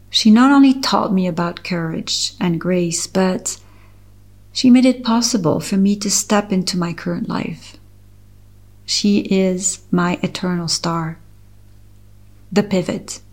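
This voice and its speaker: A middle-aged woman with a French accent, a French native speaker from Switzerland, reading her own personal essay aloud in a slow, measured, earnest way. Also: medium pitch, darkish tone. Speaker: author reading her article.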